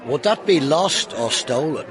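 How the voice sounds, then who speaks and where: An actor playing a wry, bookish, world-weary detective inspector in a radio drama, with a dry, pompous turn of phrase. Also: male voice, a little high, lively intonation, a little slow, crowd noise. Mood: dry, lofty. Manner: dry, pompous, quote-laden turns of phrase.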